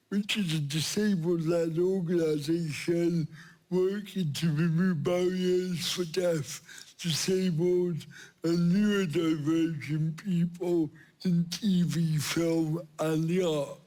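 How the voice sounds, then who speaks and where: A man's voice: on the high side, a little mellow, poor audio. A middle-aged man introducing himself as a panelist at a live event. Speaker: screenwriter and panelist.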